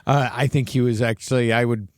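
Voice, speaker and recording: A man's voice: mid-range voice, fairly even intonation, a little fast, no room sound, studio quality. A man co-hosting a comedy podcast, chatting casually with a mock-argumentative bent. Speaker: podcast co-host. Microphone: close studio podcast mic.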